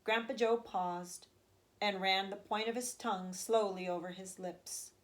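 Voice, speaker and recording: Expressive woman reading a children's story aloud. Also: medium pitch. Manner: expressive, deliberate phrasing. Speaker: teacher reading aloud to third graders.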